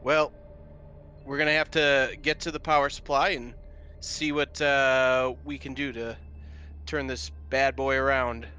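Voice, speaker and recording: Male tabletop player, forward bright voice, casual chat, slight background noise. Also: medium pitch, level tone, unhurried. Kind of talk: casual group game chat.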